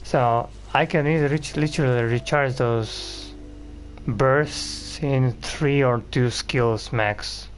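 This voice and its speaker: A man talks casually through his gameplay with a loose, rambling delivery and a medium-pitched voice. Faint game music plays behind him as he comments like a video host. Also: takes it slowly, low-quality recording.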